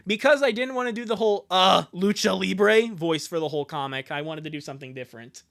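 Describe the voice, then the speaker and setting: A man's voice: high voice, fast, creaky, fairly bright tone. A man doing a casual, rambling, jokey livestream with commentary on what he reads. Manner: jokey, rambling, chuckling asides. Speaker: live streamer.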